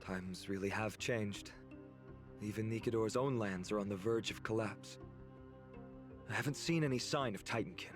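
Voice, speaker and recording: A man's voice: fairly low pitch, moderate pace, pauses often, natural voice. A man voicing a cheerful young warrior in a video game, easygoing and teasing. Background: game music.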